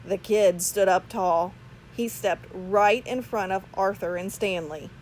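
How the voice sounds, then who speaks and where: A woman reading a children's storybook aloud with expressive, deliberate phrasing. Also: medium pitch, slight background noise, rough recording. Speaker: storybook reader. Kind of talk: children's read-aloud narration.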